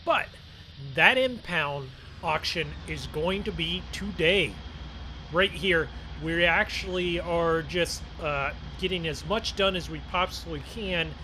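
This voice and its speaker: Vehicle noise runs clearly behind a man with a somewhat high-pitched voice. He hosts a farming game video as casual roleplay. His delivery is chatty and folksy, as if talking viewers through the work. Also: slow, sharp tone, poor audio. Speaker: gaming video host. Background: game vehicle engine sounds.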